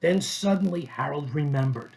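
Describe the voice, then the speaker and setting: A man reading a children's story aloud expressively, pausing between short phrases. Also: on the high side, vocal fry, darkish tone, poor audio. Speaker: storybook reader.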